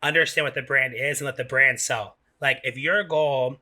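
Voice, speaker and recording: A man's voice: medium pitch, steady tone, normal speed, bright, forceful tone. A man hosting a podcast in casual chat, informal and circling back to restate his points. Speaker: podcast host.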